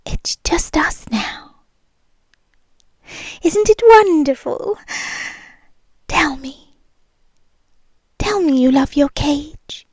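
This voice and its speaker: A woman with a British accent voicing a possessive, obsessive rich young girlfriend. She speaks in a soft, breathy whisper, slow, intimate and menacingly sweet, close to the microphone. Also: high-pitched, expressive, dry recording, exaggerated voice. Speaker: ASMR roleplay performer. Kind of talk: ASMR roleplay.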